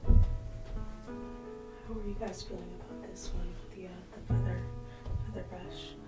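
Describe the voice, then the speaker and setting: Woman, whispered ASMR museum-guide read, very unhurried, soft music bed underneath. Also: mid-range voice, fairly even intonation, rough recording. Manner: soft whispering, intimate and reassuring. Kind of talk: ASMR roleplay.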